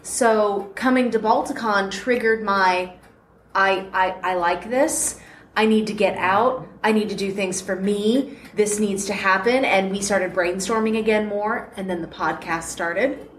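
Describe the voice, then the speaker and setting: A woman's voice: medium pitch, a little slow. A woman in her thirties, a podcast host, chatting casually and laughing often as an interview guest at a convention panel. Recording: roomy echo, faint noise.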